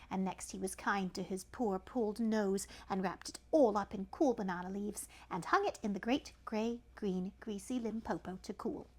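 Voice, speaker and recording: A woman reading a children's story aloud in an animated style, with chatty asides to a young listener. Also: medium pitch.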